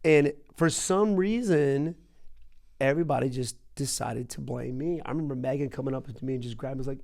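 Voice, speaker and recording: A man hosting a podcast, telling stories in casual chat with an animated manner and repeating himself for emphasis. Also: mid-range voice, fairly quick, creaky. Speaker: podcast host.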